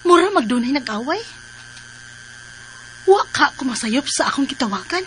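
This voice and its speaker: High-voiced, teasing young Filipina. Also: female voice, fairly bright tone, a little hiss or hum, full character voice, low-quality recording. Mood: puzzled. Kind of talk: Filipino radio drama acting.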